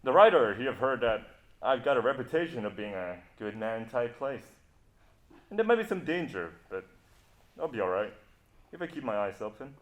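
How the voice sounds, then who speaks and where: A man's voice: mid-range voice, big pitch swings, moderate pace, fairly bright tone. Cocky male adventurer played by a young man, sardonic and suave, swinging intonation, roomy stage-play sound. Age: young adult. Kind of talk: amateur stage play acting. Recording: echoey student stage recording.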